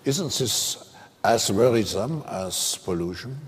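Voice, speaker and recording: Very deep-voiced male interviewer. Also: lively intonation, slow. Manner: hesitant, formal questioning. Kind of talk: stage interview questions. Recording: long hall reverb.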